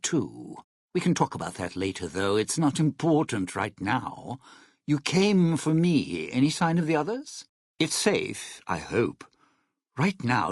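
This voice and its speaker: Casting: a bitter, sardonic video game schemer, gravelly and slow, with theatrical swings in pitch. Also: male voice, dry recording, clean studio sound. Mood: guarded. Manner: sly, sardonic, theatrical delivery. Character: scheming, bitter, sardonic ghoul crook from a casino heist. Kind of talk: video game character dialogue.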